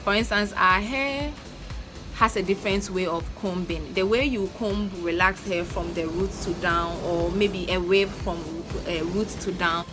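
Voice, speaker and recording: Ghanaian woman giving earnest advice. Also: mid-range voice. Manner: earnest, coaching, repeats her points. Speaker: natural hair vlogger. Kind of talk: casual advice vlog. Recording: music playing under the voice. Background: background music.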